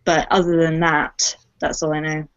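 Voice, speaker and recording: A woman's voice: deep voice, little pitch movement, normal speed, fairly bright tone, muffled recording. A young woman chatting casually about her fandom as a cast member on a panel. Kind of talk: casual fan chat.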